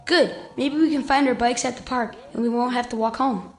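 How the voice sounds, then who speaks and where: A woman's voice: fairly high pitch, fairly quick. A woman playing a sensible, cautious ten-year-old boy in an audio drama, casual and matter-of-fact. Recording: faint night crickets behind.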